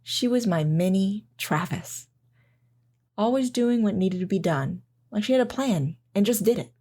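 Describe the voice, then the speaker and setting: A woman's voice: medium pitch, animated, a little slow, dark tone. Woman as a loving mom in an audio drama, reflective n sentimental, kinda wistful storytelling. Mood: wistful, tender. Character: loving, wistful mother recalling camping with her daughter. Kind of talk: audio drama narration and dialogue.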